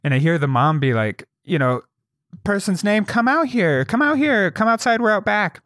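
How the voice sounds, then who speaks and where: A young man co-hosting a podcast, telling stories animatedly, with lots of fillers and swearing, and jumping up high to act out quotes. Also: lively intonation, normal speed, fairly bright tone, no echo, studio quality. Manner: swears freely, many fillers. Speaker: podcast co-host. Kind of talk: casual storytelling chat.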